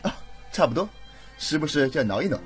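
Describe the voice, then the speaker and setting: A man's voice: a little high, animated, fast talker, soft background music, natural voice. An actor playing a tipsy, laid-back young man adrift at sea in an audio drama, wry and casual in manner. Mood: sheepish.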